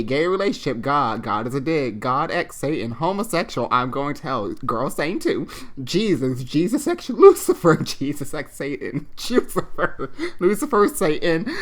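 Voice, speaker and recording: A man's voice: on the high side, very animated, unhurried, a bit bright. A man hosting online in a slangy, irreverent, giggly way, reading fan fiction aloud with casual off-the-cuff commentary. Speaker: online video host and fan fiction reader.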